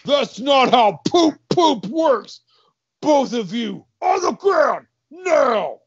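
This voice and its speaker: A man voicing a blustering but easily hurt guard in a comedy story, shouty, whiny and childish. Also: very high-pitched, very animated, drawn-out words, vocal fry, husky, no room sound, highs cut off, voice pushed up, full character voice. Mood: angry.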